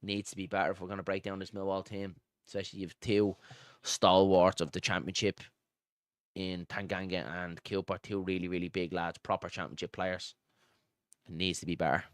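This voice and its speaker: A man's voice: sits low, level tone, fairly quick, no room sound, clean studio sound. A man with a Dublin Irish accent doing live football commentary and informal, matey chat as host of a fan watchalong stream. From Dublin, Ireland. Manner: informal, matey, addresses viewers as pal and buddy.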